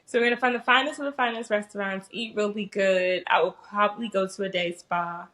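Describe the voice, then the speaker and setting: A woman's voice: mid-range voice, fairly even intonation, brisk, a bit bright. A woman with an American accent talking casually and informally as a guest in a podcast interview. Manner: informal, slangy. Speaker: podcast guest, small business owner.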